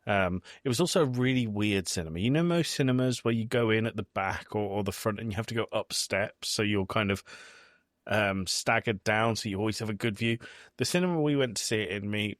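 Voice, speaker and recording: A man's voice: fairly low pitch, a little fast, no echo, clean studio sound. A man in his thirties with a British accent, hosting a podcast in casual conversation. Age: 30s. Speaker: podcast host.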